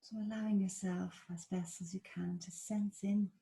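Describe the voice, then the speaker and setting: A woman with an Irish accent leading a guided body scan meditation in a slow, soft, soothing manner. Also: mid-range voice, steady tone, gravelly. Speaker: meditation teacher.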